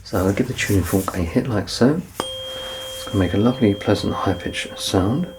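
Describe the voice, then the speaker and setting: A man playing a doctor in an ASMR medical exam roleplay, soft-spoken and reassuring, with gentle teasing and close whispered passages. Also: lowish voice, moderate pace, background noise. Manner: slips into unvoiced whispering up close.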